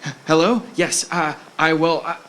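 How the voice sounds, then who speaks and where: A man's voice: a little high, moderate pace, a bit bright, natural voice. A man playing a worried but supportive husband in an amateur audio drama, hesitant and reassuring. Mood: alarmed. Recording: clearly noisy amateur recording.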